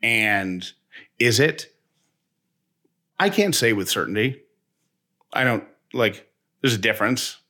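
A man's voice: on the low side, animated, takes it slowly, pauses often, pushed and bright. A man in casual, reflective personal talk as a co-host, speaking in an earnest, reassuring way in long monologues.

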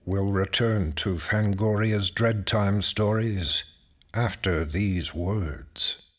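A man's voice: lowish voice, takes it slowly. A man working as a radio announcer, reading scripted show links and credits.

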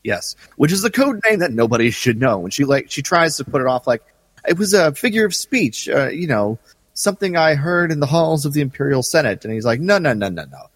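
A man's voice: fairly high pitch, sing-song, brisk, no echo, in a large hall. A man co-hosting a podcast in casual chat, with wry understatement. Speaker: podcast co-host.

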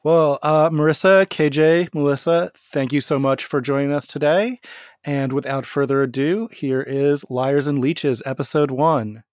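Smooth-voiced male podcast host. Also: a little high, steady tone, measured pace, darkish tone, dry recording. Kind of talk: interview hosting.

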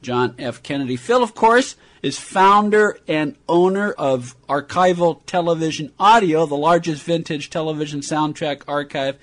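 A man's voice: a little high, normal speed, hardly any pauses, fairly bright tone. An American man hosting a radio program, introducing and interviewing in a prepared style. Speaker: radio show host.

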